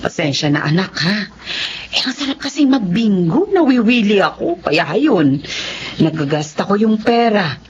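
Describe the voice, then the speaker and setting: Woman acting filipino nanay in radio drama, very animated, breezy n making excuses, lo-fi noisy sound. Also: medium pitch, normal speed, natural voice. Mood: apologetic, cheerful. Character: middle-aged widowed mother, carefree, evasive bingo gambler. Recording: dull lo-fi audio, clear background noise.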